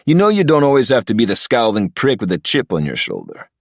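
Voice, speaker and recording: A man's voice: mid-range voice, wildly swooping pitch, fast, creaky, no room sound, studio quality, pitched above the performer's own voice, heavily acted. A man voicing a cocky, crude young man who mocks others in a laid-back way. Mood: mocking. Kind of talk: audiobook character voice.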